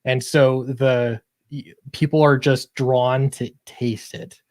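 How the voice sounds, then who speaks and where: Male interview guest, earnest and conversational, medium pitch, very little room sound. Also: little pitch movement, takes it slowly, darkish tone, no room sound. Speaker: guest comic book creator. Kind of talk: casual interview chat about own project.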